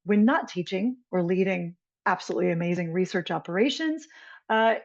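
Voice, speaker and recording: A woman acting as event host, giving a spoken introduction of a guest speaker. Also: fairly high pitch. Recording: dull, lo-fi online call audio.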